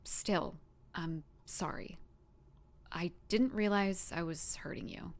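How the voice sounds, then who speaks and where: A woman playing a guarded, softhearted young woman with superpowers in an audio drama, in a conversational, emotionally open manner. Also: fairly low pitch, slow. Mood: regretful.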